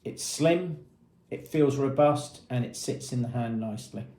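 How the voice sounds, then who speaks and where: A man's voice: mid-range voice, unhurried, mellow, echoey room. A man presenting a product demonstration in a methodical, matter-of-fact, explanatory way.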